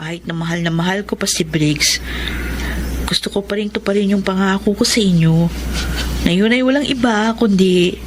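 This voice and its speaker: An actress with a Filipino accent playing a devoted young nurse, earnest and respectful, in radio drama narration and dialogue. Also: female voice, mid-range voice, moderate pace, noisy background, lightly acted. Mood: earnest, pleading. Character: devoted, lovestruck young nurse telling her story.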